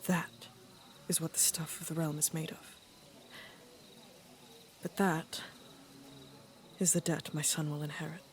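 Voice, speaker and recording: A woman playing an aging fantasy queen in an audio drama, stately and measured, dryly witty, weary and fond. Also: low-pitched, little pitch movement, slight background noise. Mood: weary, reflective.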